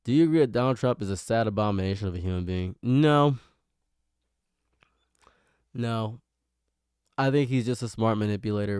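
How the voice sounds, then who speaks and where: A man's voice: on the low side, a little mellow, clean tone, no room sound, studio quality. A young man with a nasal voice, rambling and self-mocking as he chats with his live stream viewers. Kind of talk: casual live stream chat.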